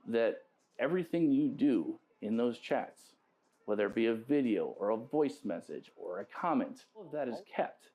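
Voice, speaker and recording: A man who served in the military, giving a measured, hesitant interview account with frequent pauses and ums. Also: mid-range voice, dark tone.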